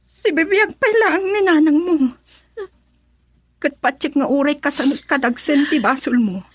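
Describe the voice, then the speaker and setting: A woman's voice: high-pitched, moderate pace, no echo, lightly acted. A woman playing a frank, concerned friend who urges and advises, in an Ilocano radio drama. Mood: firm, urging. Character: frank, concerned female friend giving advice.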